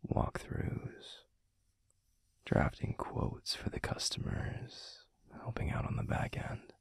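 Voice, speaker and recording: A man playing a gentle, protective werewolf boyfriend in an intimate audio roleplay, murmuring softly and soothingly with a breathy, gravelly voice. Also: whispered, close to an everyday voice. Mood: subdued, matter-of-fact. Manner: slow, soothing, unhurried. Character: gentle, protective adult male werewolf boyfriend, pack leader.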